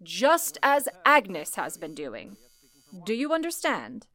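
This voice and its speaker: A woman's voice: mid-range voice, huge pitch swings, sharp tone, exaggerated voice. A woman playing a composed, commanding telephone exchange supervisor, speaking firmly and formally as in an old-time radio drama. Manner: firm, deliberate, formal.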